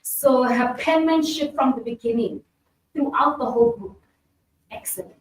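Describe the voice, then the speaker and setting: A woman's voice: sits high, moderate pace. A woman facilitating a panel discussion, speaking in an earnest, reflective and emphatic way. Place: event hall. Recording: echoey room, distant mic, faint hum.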